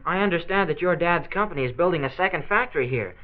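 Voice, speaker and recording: A man's voice: on the high side, animated, fast, close to an everyday voice. An actor playing an eager, easygoing American teenage boy, earnest and slangy, in 1940s radio drama style. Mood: teasing, cheerful. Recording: muffled, narrow-band 1940s transcription disc.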